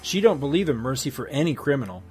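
A man's voice: medium pitch, sing-song, quick pace, a little mellow, soft background music, close to an everyday voice. A man voicing an eager, admiring young boy in a comic story, in a raised voice.

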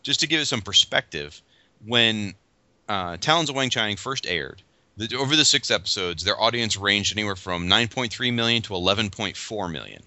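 A man's voice: fairly low pitch, expressive, normal speed, sharp tone, no room sound. A man hosting a casual podcast panel chat, quizzing his guests with enthusiasm. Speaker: podcast host. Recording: dull lo-fi dry audio.

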